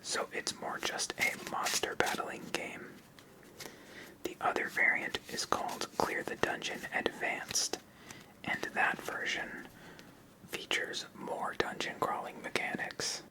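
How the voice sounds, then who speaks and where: A host of unclear sex speaks entirely in a whisper, in the style of an ASMR tutorial. The pace is very slow and unhurried. Each step is explained softly and patiently, one after another. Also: rough recording. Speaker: host of a card game channel.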